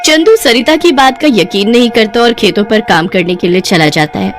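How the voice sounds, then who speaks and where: A woman's voice: a little high, expressive, moderate pace. Woman narrating a cartoon story over music.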